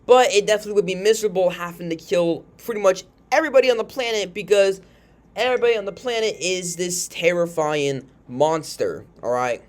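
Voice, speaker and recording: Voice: medium pitch, fairly quick. A video host giving casual, rambling commentary on character matchups, often checking in with the listener after making a point. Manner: rambling, informal, frequently tags statements with a questioning check.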